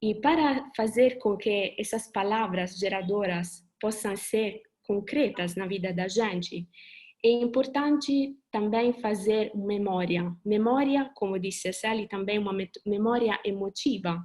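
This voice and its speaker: A woman from Italy with an Italian accent speaking Portuguese, giving an earnest webinar talk over a video call and pausing now and then to search for words. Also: mid-range voice, darkish tone. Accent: Italian, with Brazilian Portuguese touches.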